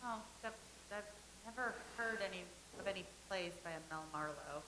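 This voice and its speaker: An actress playing a dramatic, self-absorbed young actress in a staged comedy reading. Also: female voice, on the low side, normal speed, sharp tone. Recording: echoey room with faint hiss.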